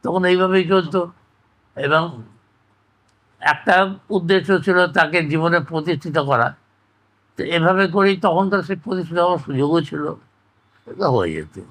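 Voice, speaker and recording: An older man, reminiscing in conversation as an interview guest, measured and speaking in short broken phrases. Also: on the high side, expressive, fairly bright tone, poor audio. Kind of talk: reflective conversational recollection.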